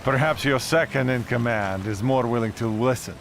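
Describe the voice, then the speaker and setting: A man playing a ruthless, smooth Soviet army major in a video game, commanding and coolly threatening. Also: medium pitch, normal speed, lightly acted. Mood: commanding, menacing. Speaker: military officer villain. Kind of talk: video game cutscene acting. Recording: heavy vehicle and ambience noise behind.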